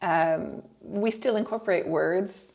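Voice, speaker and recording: A middle-aged woman from Canada whose first language is French, talking expressively in a relaxed interview, with frequent laughs and thoughtful restarts. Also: fairly low pitch, slow pace, creaky. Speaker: guest. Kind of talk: casual conversational interview.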